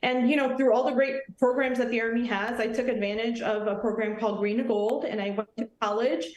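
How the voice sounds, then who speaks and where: A woman's voice: a little high, fairly even intonation, brisk, darkish tone, roomy sound, poor audio. A woman in her thirties from Nepal, an Army officer answering questions in an earnest, friendly way on a morning TV show. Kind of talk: morning TV interview.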